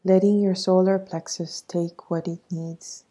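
Slow, soft-voiced woman guiding meditation. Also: lowish voice, level tone, slightly rough. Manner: soothing, measured, instructing. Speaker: meditation guide. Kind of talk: guided meditation narration.

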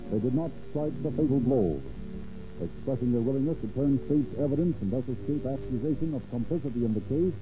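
A man's voice: medium pitch, close to an everyday voice. A man narrating a 1930s radio crime drama in a formal scripted style. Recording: tinny old radio recording, music underneath.

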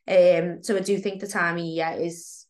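A woman coaching her listeners in a loose, frank podcast chat, her voice a little croaky from a cold. She talks at an ordinary pitch, filling her sentences with "like" and "um", and gives blunt advice. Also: level tone, normal speed, a little mellow. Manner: chatty, frank, lots of "like" and "um". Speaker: fitness coach and podcast co-host.

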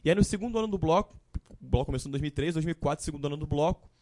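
A man in his early twenties with a Carioca Portuguese accent, telling his story as a guest in a casual live-stream chat, relaxed and full of fillers. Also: fairly high pitch, very fast, no room sound. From Rio de Janeiro, Brazil. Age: 20s. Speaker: guest musician.

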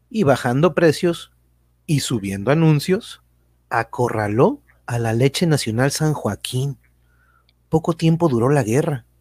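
A man's voice: mid-range voice, a little mellow, breathy edge, no echo, clean studio sound. A man reading scripted narration for a radio drama as a storyteller.

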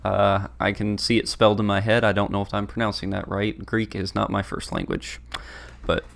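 A man co-hosting a discussion podcast, explaining ideas in a measured way with a few filler pauses. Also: lowish voice, steady tone, gravelly. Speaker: podcast co-host.

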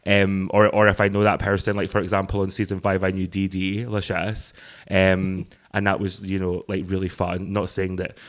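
A man with a croaky voice, a drag performer and producer, chatting casually on a podcast with frequent ums. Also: deep voice, monotone, moderate pace.

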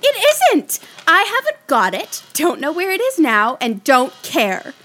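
A woman's voice: sits high, wildly swooping pitch, unhurried, sharp tone, heavily acted. A woman acting a proud, petulant young girl, the youngest sister, in an audio play, with a whiny, dramatic and insistent delivery. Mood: defiant.

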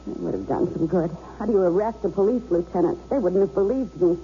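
A woman's voice: on the low side, fast, close to an everyday voice. A woman playing an anxious, earnest bank manager and troubled wife, in a dramatic radio drama style. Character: anguished bank manager, fearful, conflicted wife and mother. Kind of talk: 1970s radio drama acting. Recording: dull, noisy old radio broadcast recording.

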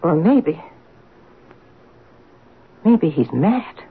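An actress playing a devoted, wry detective's wife in her fifties in an old-time radio drama, chatty and expressive. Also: fairly low pitch, extremely slow, heavy background noise, full character voice. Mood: intent, wondering. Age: 50s. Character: devoted, wry middle-aged detective's wife, keen amateur graphologist. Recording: muffled, band-limited radio drama recording.

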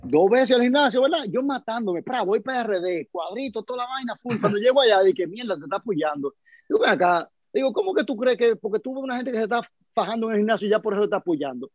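A Dominican man with a high voice tells joking stories in quick bursts. His voice is dry and a little muffled. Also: big pitch swings, no room sound. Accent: Dominican Spanish. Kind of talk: casual chat.

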